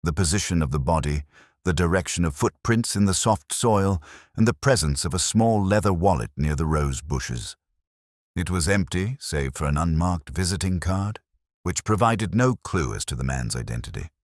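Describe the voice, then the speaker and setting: A man narrating a scripted mystery story as an audiobook, in a measured, formal storytelling manner. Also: low-pitched, expressive, no echo, studio quality.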